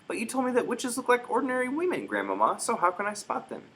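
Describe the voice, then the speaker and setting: Man putting on a high-pitched, curious little boy. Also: wildly swooping pitch, fast talker, a bit bright, slightly rough, exaggerated voice. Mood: doubting. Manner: wide-eyed, insistent questioning. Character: curious seven-year-old boy questioning his grandmother.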